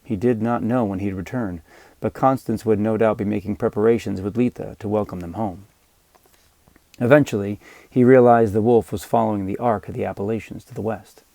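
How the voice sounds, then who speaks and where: A man narrating scripted fiction as an audiobook reader, with measured, dramatic storytelling. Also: fairly low pitch, gravelly, dark tone, no room sound.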